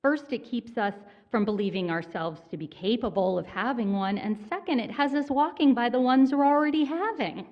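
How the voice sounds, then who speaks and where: A woman minister giving a sermon in a church, conversational and gently self-mocking in her humor. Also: fairly high pitch, animated, moderate pace, hardly any pauses, dull audio. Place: church sanctuary.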